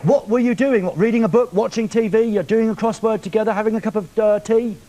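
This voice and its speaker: A man's voice: high voice, steady tone, quick pace, mellow, low-quality recording. A man hosting a live TV show, teasing a caller on the phone with cheeky questions. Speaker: TV presenter. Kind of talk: live TV prank phone call. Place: TV studio.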